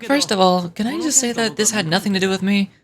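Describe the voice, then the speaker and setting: A woman's voice: medium pitch, expressive, fast, pitched below the performer's own voice, natural voice. A woman voicing a nonchalant, self-assured young man, a wry schemer in a video game. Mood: cool, wry.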